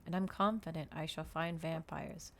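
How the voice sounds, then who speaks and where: Female ASMR reader, lower register with vocal fry, measured narrative delivery, audible background noise. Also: fairly even intonation. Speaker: streamer reading aloud for listeners. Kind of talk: soft ASMR audiobook reading.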